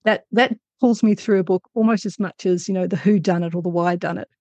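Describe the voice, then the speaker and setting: A woman's voice: lowish voice, a little fast, mellow, dry recording, dull audio. A woman answering interview questions as an author, conversational, with thoughtful and hesitant phrasing. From Australia.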